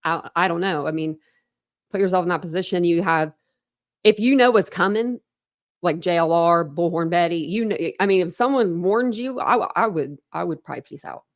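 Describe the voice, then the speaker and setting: A woman's voice: fairly low pitch, normal speed. Chatty rambling woman host. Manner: rambling, chatty, self-interrupting with laughs. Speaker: true crime channel host. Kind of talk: casual livestream commentary.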